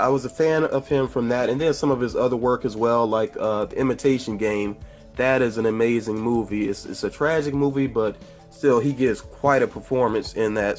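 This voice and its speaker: A man with a stuffy, congested voice from a cold talks through a casual film review at a middling pitch. He rambles easily, backtracks and corrects himself mid-sentence. Soft music plays faintly behind him. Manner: rambling and chatty, with frequent fillers and self-corrections. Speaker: movie review vlogger. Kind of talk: casual spoiler movie review.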